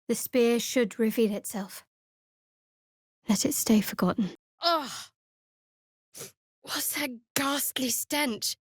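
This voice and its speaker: A woman playing a guarded, sardonic young cleric of a dark goddess in a fantasy video game, speaking in a dry, measured, wry way. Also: a little high, no room sound, natural voice. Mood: measured, watchful, then alarmed.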